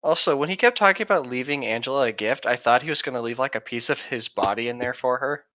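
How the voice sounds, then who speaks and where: A man's voice: mid-range voice, fast talker, bright, forceful tone, slight background noise. A man co-hosting a podcast with casual, joking remarks, recorded in slightly muffled lo-fi sound. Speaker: podcast co-host reading aloud and commenting.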